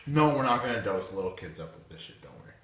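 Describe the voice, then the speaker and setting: A man's voice: lowish voice, animated, quick pace. A man co-hosting a casual podcast, teasing the host with crude interjections. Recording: echoey room, distant mic, faint noise.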